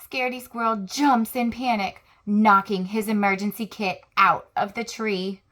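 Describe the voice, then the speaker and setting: A young adult woman reading a children's picture book aloud, lively and expressive, with chatty asides to young listeners. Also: medium pitch.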